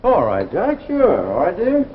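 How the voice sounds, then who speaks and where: An actor playing an affectionate, disbelieving husband, speaking earnestly in 1950s radio drama style. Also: male voice, high-pitched, huge pitch swings, a little slow, exaggerated voice. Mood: genial. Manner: earnest, tender terms of endearment, incredulous. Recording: dull, noisy vintage radio recording.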